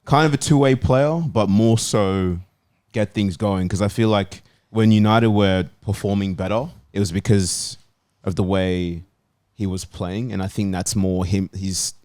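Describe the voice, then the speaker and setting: A man's voice: lowish voice, fairly even intonation, a little slow, few pauses, a little mellow, no echo, clean studio sound. A man giving his opinion in casual chat as a football fan panellist.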